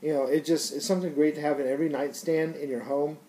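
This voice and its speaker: A man's voice: a little high, steady tone, quick pace, dark tone, low-quality recording. A man giving a casual, unscripted product review in a rambling, conversational, slangy way.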